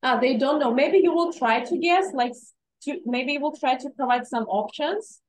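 Woman in her 30s, fairly high voice, English teacher, video call, patient and friendly, lots of fillers. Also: darkish tone, a little hiss or hum, low-quality recording. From Uzbekistan. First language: Russian. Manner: friendly, explaining slowly with many fillers. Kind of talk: video call class presentation.

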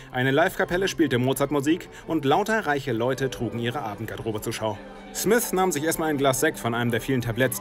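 A man reading a scripted comic detective short story as an audiobook narrator. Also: medium pitch.

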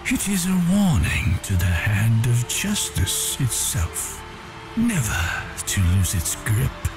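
A man's voice: medium pitch, huge pitch swings, slow, music in the background. A man playing a sly imperial chancellor in an audio drama, courtly, insinuating and gloating. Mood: gloating menace.

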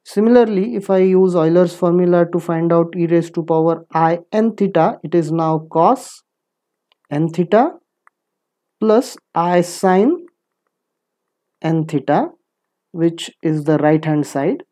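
A woman lecturing in mathematics, explaining step by step in a deliberate, instructive manner and posing questions that she answers herself. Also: lowish voice, warm tone. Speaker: physics lecturer.

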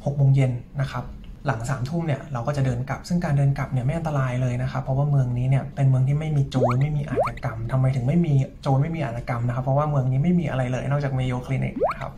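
A man's voice: mid-range voice, vocal fry, dark tone, slight background noise. A young man from Thailand, a medical intern, talking in a casual, polite vlog style and explaining things step by step to a handheld phone camera. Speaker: medical intern vlogging his research stay. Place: indoors in a library and outdoors in snow. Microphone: handheld phone camera, selfie framing. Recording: voice over background music.

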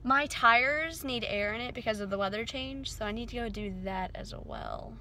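A woman's voice: a little high, normal speed, pushed and bright. A young woman, talking casually to her phone camera in her car, rambling and bubbly with lots of "like" fillers. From Texas. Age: young adult. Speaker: vlogger. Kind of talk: casual chatty vlog. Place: parked and driving car. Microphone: phone camera held at arm's length. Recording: phone audio with car and street noise.

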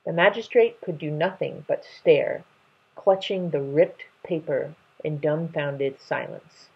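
A young adult woman reads a children's novel aloud expressively, with a husky voice, indoors at home. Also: low voice, slow, dull audio. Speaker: read-aloud storyteller.

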